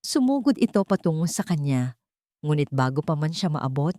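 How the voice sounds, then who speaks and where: A woman's voice: deep voice, big pitch swings, moderate pace, mellow, no echo, studio quality. A woman reading a dramatic scripted story as its narrator.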